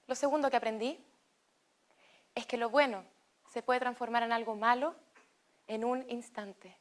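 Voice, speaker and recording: A young woman with a Chilean Spanish accent tells a personal story in a fairly high voice. She speaks slowly and deliberately and pauses between phrases. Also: fairly even intonation. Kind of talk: personal testimony read as narration.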